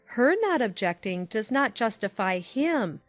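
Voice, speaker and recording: A woman voicing a sensible, concerned middle-aged aunt in a dramatized classic novel, gently admonishing in measured, formal phrases. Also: a little high, very animated, soft background music.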